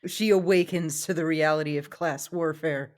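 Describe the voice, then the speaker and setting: A woman's voice: deep voice, fairly even intonation, normal speed, darkish tone. A woman co-hosting a podcast in casual conversation, with a wry, joking way of talking. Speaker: podcast co-host. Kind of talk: casual discussion.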